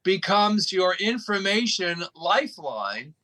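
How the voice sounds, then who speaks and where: A man's voice: high voice, very animated, slow pace, bright, forceful tone. A man speaking as an interview guest, explaining things in an emphatic, folksy storytelling way. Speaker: interview guest, radio station operator. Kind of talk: conversational explaining.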